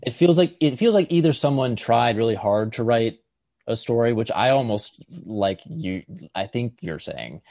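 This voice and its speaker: A man co-hosting a podcast, casually discussing writing in a halting, tentative way, circling toward each point. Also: mid-range voice, lively intonation, warm tone, no echo. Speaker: podcast co-host.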